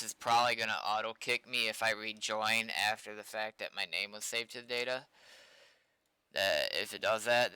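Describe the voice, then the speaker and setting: American male streamer, bright and forward voice on the low side, informal, thinking out loud on a close mic. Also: clean tone, no echo, rough recording. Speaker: game developer livestreaming. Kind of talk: casual livestream chat, thinking aloud while coding.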